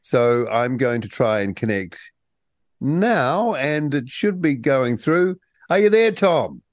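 A man's voice: medium pitch, very animated, slow, dry recording, studio quality. A man hosting a radio talk show, jokey and playful, who acts out other people's voices when he tells a story. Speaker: radio host.

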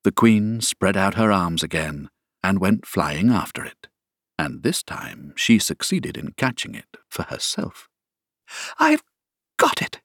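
A man reading a children's story aloud as an expressive audiobook narrator. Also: low-pitched, unhurried, dry recording, studio quality. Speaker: audiobook reader.

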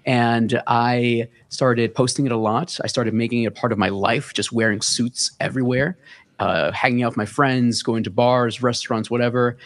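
A man, a podcast guest telling his story in relaxed casual talk full of fillers. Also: medium pitch, normal speed. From the Bronx, New York. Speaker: guest, content creator.

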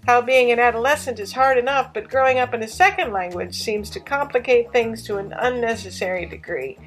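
A woman's voice: a little high, a little fast, soft background music, low-quality recording. A woman hosting a podcast, introducing guests and chatting with bubbly enthusiasm. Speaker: podcast host. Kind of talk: podcast interview.

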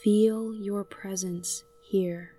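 A woman narrating a scripted guided meditation in a gentle, soothing manner, leaving long pauses between short phrases. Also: medium pitch, steady tone, far from the mic, a little hiss or hum.

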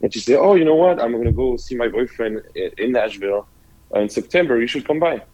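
A man's voice: mid-range voice, lively intonation, quick pace, warm tone, slight background noise, low-quality recording. A restaurant owner from France with a French accent, telling his story hesitantly with many restarts. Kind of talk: casual interview.

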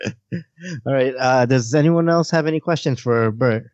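A man's voice: mid-range voice, normal speed, a little mellow, clean tone, dry recording, lo-fi sound. Chuckling man hosting a podcast, dry sound. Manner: chuckling, moves the show along. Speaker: podcast host. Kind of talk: casual chat.

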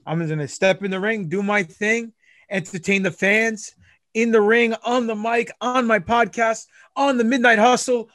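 Brash high-voiced wrestler cutting promos. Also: male voice, steady tone, normal speed, a bit bright, no echo, clean studio sound. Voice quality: boastful, hammy, trash-talking. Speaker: podcast guest, wrestler. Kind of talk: casual chat in a brash wrestling promo manner.

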